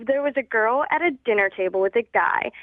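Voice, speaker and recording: A woman's voice: a little high, expressive, a little fast, no echo. A woman calling in to a radio show over a phone line, talking in a casual conversational way. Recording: tinny, narrow-band telephone line.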